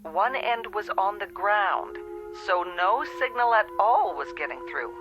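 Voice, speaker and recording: An actress playing a patient, matter-of-fact telephone operator in a vintage television drama, heard as if over the phone. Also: female voice, mid-range voice, expressive, over music. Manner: measured, polite, apologetic.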